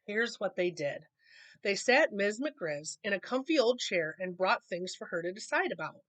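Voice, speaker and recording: A woman reading a children's picture book aloud in an expressive storytelling manner. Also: mid-range voice, moderate pace, no echo. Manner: expressive reading, acting out dialogue.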